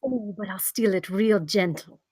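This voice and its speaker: A woman's voice: medium pitch, measured pace, darkish tone, husky, no room sound, studio quality. A woman reading the part of a sly, teasing female thief and smuggler in a screenplay table read. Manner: sardonic, teasing.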